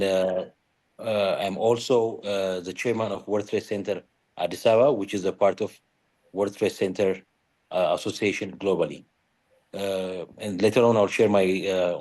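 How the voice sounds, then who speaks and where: A man's voice: low-pitched, darkish tone. A man with an Ethiopian accent introducing himself on a virtual business panel, with frequent hesitations. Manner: hesitant, frequent filled pauses. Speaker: panelist, company founder. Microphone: video call.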